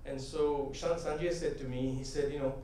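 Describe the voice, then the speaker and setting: A man's voice: mid-range voice, steady tone, normal speed, dark tone, a little hiss or hum. A middle-aged man giving a conversational conference talk full of anecdotes, often tagging his points with "right?" and building to rhythmic, emphatic punchlines. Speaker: convention speaker. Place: large convention hall. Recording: echoey hall, voice from a distant mic.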